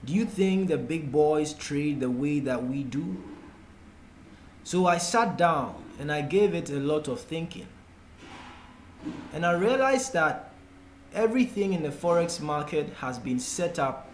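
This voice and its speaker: Ghanaian man, slow-talking tutor in echoey room. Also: a little high, expressive. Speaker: forex trading tutor. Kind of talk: explanatory tutorial talk, unscripted. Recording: echoey room with faint background noise.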